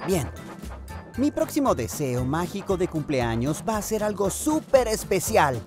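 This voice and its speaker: Casting: cheerful young boy engine, Latin American accent, lively swooping pitch, unhurried, for a children's cartoon. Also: loud music behind the voice. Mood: thoughtful, sympathetic.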